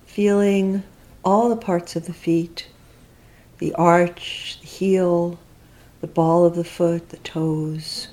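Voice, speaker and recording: A woman with a dark, relaxed, fairly low voice leads a guided meditation. She speaks very slowly and gently, leaving long pauses between short, reflective instructions. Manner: unhurried, gentle, reflective phrasing. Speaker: meditation teacher leading a guided body scan.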